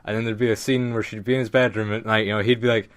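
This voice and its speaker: A man's voice: on the low side, flat delivery, racing, smooth. A man co-hosting a podcast with casual comedic riffing, joking and acting out little bits. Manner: joking, often laughing, acts out bits. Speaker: podcast co-host.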